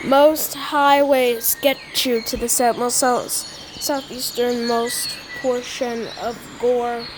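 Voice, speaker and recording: Very noisy homemade recording with birdsong behind a fairly high-pitched female voice. She delivers a mock emergency alert as its announcer. Her reading is halting, with stumbles and repeated words. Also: flat delivery.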